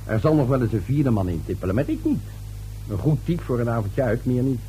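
A man's voice: mid-range voice, expressive, fairly quick, mellow, faint music behind, lightly acted, rough recording. An actor playing a middle-aged, dismissive husband and father in a radio play, curt, scornful and guarded.

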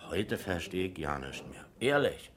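Deep-voiced Berlin pub landlord. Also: male voice, sing-song, measured pace, noisy background. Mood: emphatic. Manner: colloquial, folksy, emphatic. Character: long-serving, down-to-earth Berlin corner-pub landlord. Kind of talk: 1970s German radio crime drama acting.

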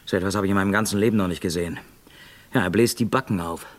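A man's voice: sits low, rapid-fire. An actor playing a brash, impatient reporter in a German crime radio play, bossy and slangy as he gives an urgent account. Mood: excited urgent. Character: brash, impatient male American reporter narrating live.

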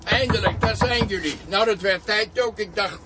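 An actor playing an impatient, indignant neighbour who complains in exasperation in a radio play. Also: male voice, high-pitched, moderate pace, lightly acted. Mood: exasperated. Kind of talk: Dutch radio play acting. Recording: faintly noisy radio play recording.

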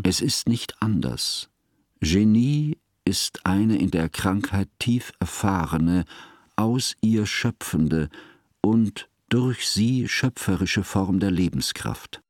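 An actor playing a learned, earnest humanist chronicler, narrating a literary radio play in formal, deliberate phrases. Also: male voice, on the low side, darkish tone, dry recording, clean studio sound. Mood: grave, pondering.

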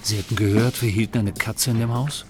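A man's voice: lowish voice, steady tone, a little fast, very noisy, natural voice. A man playing a reluctant, sullen husband with terse delivery in a radio drama.

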